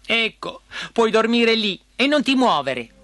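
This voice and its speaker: A man's voice: very high-pitched, very animated, a little slow, a bit bright, voice pushed up, heavily acted. A man voicing a spoiled, squeamish young princess in a storybook tale, dramatic and petulant, with music behind. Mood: defiant. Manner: exclaiming, petulant. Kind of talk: storybook character acting.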